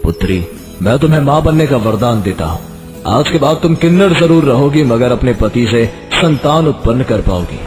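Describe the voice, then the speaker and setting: A man voices a benevolent Moon king in a mythical storybook tale. He speaks formally and solemnly, with broad rises and falls in pitch. Music plays clearly underneath. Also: animated, normal speed, a little mellow, natural voice. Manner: stately, formal pronouncements. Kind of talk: Hindi moral story acting. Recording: music behind the voice.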